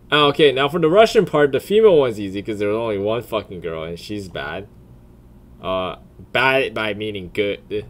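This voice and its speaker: A man giving casual off-the-cuff commentary in a crude, profane, blunt way, often deliberating out loud. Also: medium pitch. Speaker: video creator.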